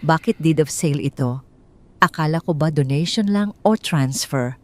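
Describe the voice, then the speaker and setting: Woman plays audio drama husband: pressured businessman, fearful, urgent, doubting, with a mellow tone. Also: deep voice, moderate pace, natural voice. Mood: suspicious.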